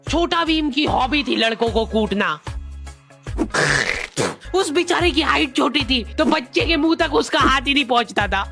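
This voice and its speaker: A woman's voice: high-pitched, animated, moderate pace, gravelly, fairly bright tone. A woman narrating a comic cartoon story in casual slang, with exaggerated mock exasperation. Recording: music behind the voice. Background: faint music.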